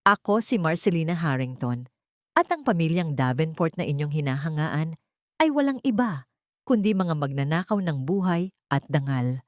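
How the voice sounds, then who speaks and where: A woman's voice: low voice, expressive, moderate pace, darkish tone, no echo, clean studio sound. A woman voicing a proud, vengeful young heiress and mother in an audio story, declamatory and authoritative. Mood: commanding, accusing.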